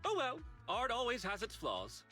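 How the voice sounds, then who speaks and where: Excitable, theatrical movie director, swooping pitch. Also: male voice, normal speed, faint music behind, heavily acted. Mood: theatrical, rallying. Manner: theatrical, grandiose. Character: excitable, hype-hungry male film director. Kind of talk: anime-style video game acting.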